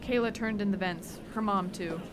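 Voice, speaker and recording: A woman's voice: mid-range voice, normal speed, natural voice. A woman playing a serious, weary, introverted teenage girl in a radio drama, plain and earnest. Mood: grim. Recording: loud background noise.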